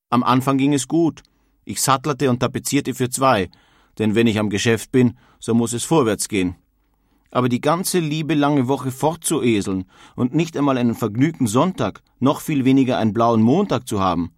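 A man's voice: medium pitch, animated, normal speed, dry recording, studio quality, lightly acted. An actor playing a grumbling, homesick German emigrant craftsman in a dramatised reading of a period letter. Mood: disgruntled. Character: disgruntled German emigrant craftsman writing home, blustering and homesick.

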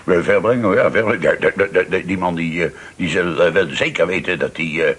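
A man's voice: sits low, a bit bright, husky. An actor playing an aggrieved old pensioned sergeant and father in a Dutch radio play, blustering and stumbling over his words. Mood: sly, hesitant. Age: older. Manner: blustering, halting with many hesitations.

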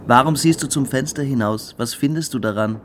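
An actor playing a cool, superior cadet in a radio drama, with a probing, condescending manner. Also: male voice, medium pitch, fairly quick, slight background noise, close to an everyday voice. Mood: needling. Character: cool, needling cadet Beineberg, worldly and superior. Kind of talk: German radio drama acting.

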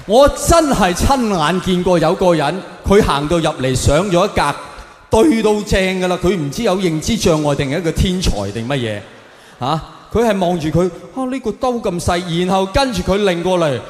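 A man with a Hong Kong Cantonese accent doing an animated, colloquial stand-up comedy routine on stage. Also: high voice, moderate pace. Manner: animated, colloquial, acting out exchanges. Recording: echoey hall with long reverb.

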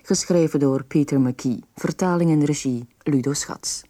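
A man's voice: a little high, unhurried. A man reading a scripted radio announcement.

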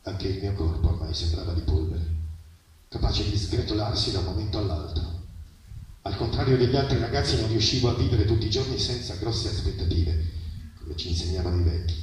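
A man reads literary prose aloud at a live event, heard from a distance through heavy echo. His pitch is middling and his phrasing is measured and dramatic, with pauses between images. Faint noise sits behind the voice. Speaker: reader at a public literary reading. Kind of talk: expressive literary reading of a first-person story. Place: live reading at an outdoor festival. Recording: very echoey, distant mic, noisy outdoor ambience.

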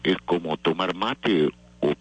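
Older man, low voice, husky, interview guest, halting and thoughtful. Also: dry recording, dull audio. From not Venezuela. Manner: thoughtful, many pauses and fillers. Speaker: theatre festival organizer. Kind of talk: interview answers.

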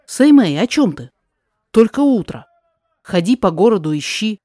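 A woman's voice: medium pitch, very animated, moderate pace, mellow, no room sound, clean studio sound. A woman voicing a blunt, insistent younger man in a rhymed audio drama, pressing impatiently with questions and orders. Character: impatient, forthright younger man, sorcerer's companion.

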